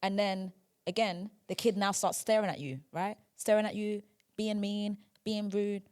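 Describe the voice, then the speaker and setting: A woman's voice: medium pitch, fairly even intonation, moderate pace, a little mellow, smooth. A young woman hosting a podcast in casual chat, talking chattily with lots of filler words. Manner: chatty, lots of "like" and "do you know what I mean". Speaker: podcast host.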